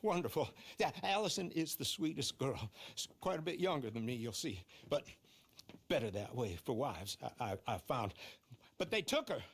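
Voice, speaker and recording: A man's voice: medium pitch, big pitch swings, moderate pace, slightly rough. An actor playing a flustered, pleading middle-aged husband in a game cutscene, stammering as he talks. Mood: flustered, grateful. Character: pleading, flustered older husband of a runaway wife. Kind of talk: video game cutscene acting.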